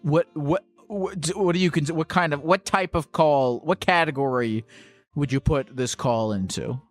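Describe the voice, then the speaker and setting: A man's voice: fairly high pitch, faint music behind. Teasing, profane male call-in host. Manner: teasing, profane, quick interjections. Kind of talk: call-in show banter.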